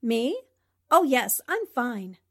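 A fairly high-pitched actress as a female newspaper reporter in a radio drama. She is plucky and wry, keeping her composure with a sharp tongue. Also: very animated, slow pace, exaggerated voice. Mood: feigned cheer.

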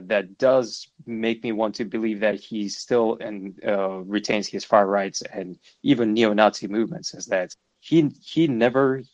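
A man whose first language is Russian talks through political commentary on his own podcast, his voice sitting a bit low. He thinks aloud, full of hesitations and restarts. The recording is dull and lo-fi, dry with no room sound. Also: dry recording. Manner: hesitant, many fillers and restarts, measured and analytical. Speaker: podcast host.

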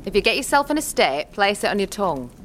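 A woman playing a gruff, wary old witch woman in an audio drama, blunt and teasing, speaking in rustic dialect. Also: mid-range voice, very animated, fast talker, a bit bright, a little hiss or hum. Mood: instructive.

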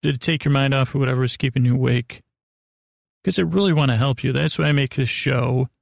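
A man with a creaky, gravelly voice hosting a bedtime podcast in a meandering, halting, lulling monologue that repeats itself and trails off. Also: mid-range voice, no echo, studio quality. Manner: lulling, soothing delivery. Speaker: podcast host. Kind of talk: rambling bedtime storytelling monologue.

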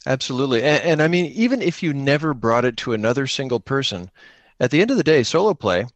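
Earnest man chatting, medium-pitched, dry sound. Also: sing-song, quick pace, no echo. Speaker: podcast guest and solo game player. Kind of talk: casual chat.

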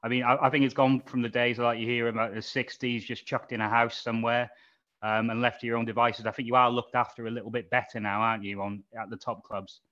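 A male interviewer with a mid-pitched voice, heard through a muffled, lo-fi recording. He puts his questions casually and chattily, as an informal host would. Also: flat delivery, fast, fairly bright tone. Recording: dull, lo-fi sound.

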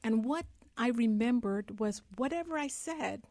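A woman's voice: on the high side, expressive, unhurried, a little mellow, dry recording, studio quality. A woman from Guyana, a guest in an interview, telling her life story in an earnest, heartfelt way.

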